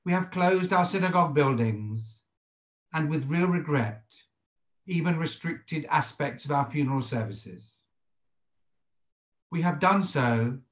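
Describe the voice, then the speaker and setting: British man in his sixties, sermon delivery, measured and formal, slightly raised pitch, reverberant home room on a computer mic. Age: 60s. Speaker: rabbi. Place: indoors, home study with bookshelves. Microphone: computer microphone on a video call. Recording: echoey room over video call.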